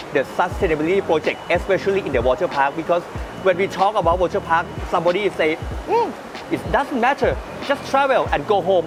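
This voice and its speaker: A man's voice: fairly high pitch, rough recording. A man hosting a casual on-location interview, eager and repetitive in his phrasing. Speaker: interviewer, show host. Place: outdoor water park. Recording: clear music behind, outdoors.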